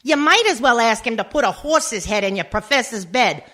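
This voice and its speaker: A nagging mob wife and mother from a comic radio play, voiced by a woman. Her voice is high, bright and forceful, swinging widely in pitch. She scolds with sharp indignation. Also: animated, normal speed. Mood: indignant, scolding.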